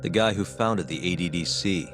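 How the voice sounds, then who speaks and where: An actor playing a stern, controlled interrogator in a video game, terse and probing. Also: male voice, deep voice, normal speed, close to an everyday voice. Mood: grave, controlled. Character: stern, controlled male interrogator pressing for truth. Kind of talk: video game cutscene acting. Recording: music under dialogue. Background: clear music.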